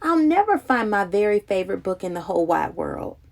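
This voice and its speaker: A woman reading a children's picture book aloud in an expressive, dramatic, childlike storytelling manner. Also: mid-range voice, brisk, creaky.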